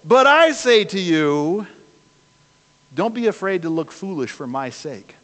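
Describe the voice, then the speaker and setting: Older man, pastor, sermon, slightly high voice, rhetorical questions, long church reverb. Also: sing-song, measured pace, fairly bright tone. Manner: conversational preaching with rhetorical questions. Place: church sanctuary, speaking from a pulpit. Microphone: far camera at the back of the church.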